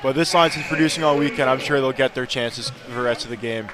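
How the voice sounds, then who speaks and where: A man's voice: medium pitch, quick pace, a crowd in the background. A man giving conversational, analytical color commentary on a live radio hockey broadcast.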